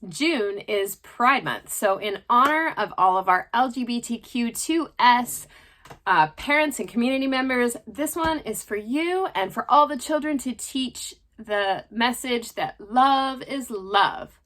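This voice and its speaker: A woman's voice: fairly high pitch, expressive, a little slow, fairly bright tone. A woman presenting a picture book read-aloud for children, with affectionate, chatty asides. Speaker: storytime presenter.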